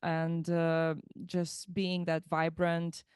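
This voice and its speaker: A woman's voice: fairly low pitch, monotone, drawn-out words, a little mellow, clean tone, dry recording, studio quality. A woman in her thirties from Lithuania, a musician guest chatting about her life, thoughtful and given to restarting words mid-phrase. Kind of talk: casual interview chat.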